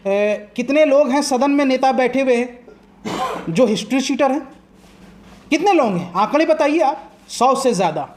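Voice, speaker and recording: A man's voice: extremely high pitch, a little mellow. A man arguing as a political commentator in a heated TV debate, impassioned and combative, firing off rhetorical questions.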